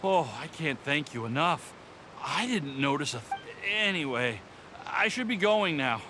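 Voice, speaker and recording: Flustered townsman for a game: bright, nervous, stammering, faint noise behind. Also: on the high side, animated. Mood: flustered, grateful. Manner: stammering, hurried to leave. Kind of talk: video game voice acting.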